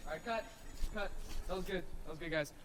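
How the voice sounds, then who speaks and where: A man playing an easygoing amateur film director giving brisk, casual instructions on set in a horror short. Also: high-pitched. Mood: casual, businesslike. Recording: noisy, quiet amateur camcorder audio.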